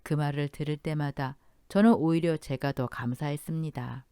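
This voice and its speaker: A woman narrating a scripted first-person story in a gentle, polite storytelling manner. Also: low voice, steady tone, moderate pace, smooth, no room sound, studio quality.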